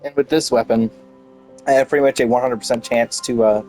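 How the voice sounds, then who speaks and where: A man's voice: medium pitch, steady tone, a little fast, a little mellow. Playful man rambling over game music. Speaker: gameplay streamer narrating a playthrough. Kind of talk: casual gaming commentary. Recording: music playing behind the voice. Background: video game music.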